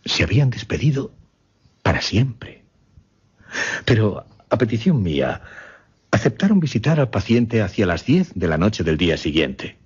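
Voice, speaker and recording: Un actor de radioteatro que interpreta a un solemne caballero hipnotizador que relata su experimento macabro, formal y con pausas dramáticas. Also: male voice, fairly low pitch, big pitch swings, a little mellow, slightly rough, heavily acted. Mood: somber. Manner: deliberate, formal, dramatic pauses. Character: grave gentleman hypnotist recounting his macabre experiment. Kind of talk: radio drama acting, dialogue narration. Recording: slightly muffled, lo-fi audio.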